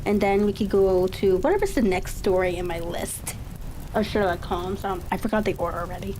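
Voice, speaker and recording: A woman hosting a livestream, chatting casually and musing aloud to herself in an unhurried way. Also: medium pitch, a little mellow, a little hiss or hum, rough recording. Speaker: streamer and host of a reading session.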